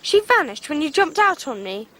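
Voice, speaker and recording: High-voiced English Victorian girl. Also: sing-song, moderate pace, noisy background. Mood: insistent. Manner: earnest, outspoken, well-spoken. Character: spirited, rebellious Victorian girl, a daughter of the house. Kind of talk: 1990s British radio drama acting.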